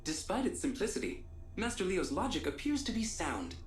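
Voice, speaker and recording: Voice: mid-range voice, big pitch swings, fairly quick, reverberant, slight background noise, close to an everyday voice. An actor voicing a polite, fussy and formal servant robot in a video game. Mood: approving.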